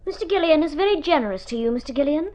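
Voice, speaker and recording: Earnest, disapproving young ward in a radio play, high voice, lively inflection, very proper. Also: female voice, very fast. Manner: formal, reproving. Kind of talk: vintage Australian radio drama acting.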